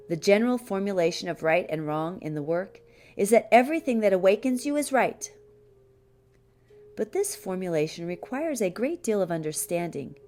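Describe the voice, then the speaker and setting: A woman narrates a spiritual text in a soft-edged, mellow voice that sits slightly low. She reads in a measured, deliberate way, breaking sentences into short phrases with pauses. Faint-to-clear music plays behind her. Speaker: audiobook reader.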